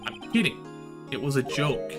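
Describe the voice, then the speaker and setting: A man's voice: medium pitch, very animated, normal speed, music in the background, lightly acted. Man reading as a weary, wry young lawyer. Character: beleaguered young male lawyer, dry and weary.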